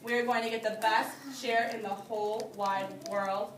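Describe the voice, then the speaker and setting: A woman reading a picture book aloud to young children as their teacher, pausing to explain things in simple phrases. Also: on the high side, flat delivery. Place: indoor classroom. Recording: roomy, distant microphone with background noise.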